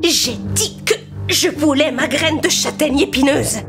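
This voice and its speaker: A woman voicing a wicked, commanding fairy villain in a children's animated fairy tale. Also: sits high, unhurried, over loud music. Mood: angry, demanding. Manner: commanding, menacing.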